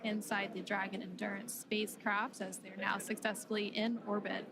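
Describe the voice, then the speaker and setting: A woman's voice: mid-range voice, flat delivery, normal speed, crowd noise. A woman giving live spaceflight commentary on a broadcast as a launch webcast commentator.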